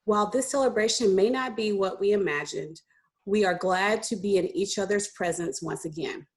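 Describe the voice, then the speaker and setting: A woman leads a solemn invocation, reading from prepared words. Her voice has an average pitch for a woman, with a ceremonial, prayerful delivery. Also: normal speed. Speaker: invocation speaker. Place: video call, virtual ceremony.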